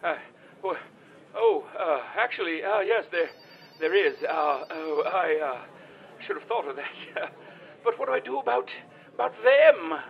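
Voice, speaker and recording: A man plays a nervous male caller in an audio comedy drama, his voice on the high side. He stammers and repeats himself in a flustered rush of politeness and panic. The line sounds muffled. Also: expressive, unhurried, creaky, exaggerated voice. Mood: flustered, sheepish. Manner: stammering, repetitive, apologetic. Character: frantic, flustered, easily panicked male caller under siege.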